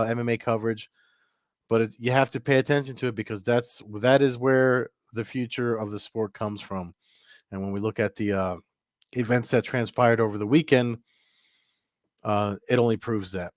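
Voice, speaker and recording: A man's voice: mid-range voice, dry recording, studio quality. Congested man rambling about fight news. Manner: rambling, many fillers and restarts. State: congested, getting over a cold. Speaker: MMA news host. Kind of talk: casual solo commentary to camera. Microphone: camera mic.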